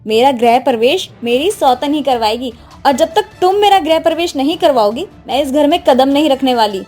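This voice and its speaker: A woman acts a spoiled young city wife in a cartoon moral story. Her voice is high and insistent, with haughty phrasing over faint music. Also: lively intonation, moderate pace, darkish tone. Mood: demanding.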